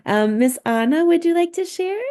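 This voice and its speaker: High-voiced, encouraging woman hosting. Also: expressive, moderate pace, dark tone. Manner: encouraging, affirming. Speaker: host of a staff information session. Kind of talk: informal meeting facilitation.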